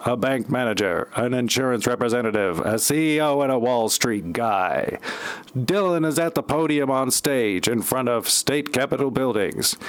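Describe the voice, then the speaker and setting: A man reading scripted narration for an amateur parody audio play. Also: medium pitch.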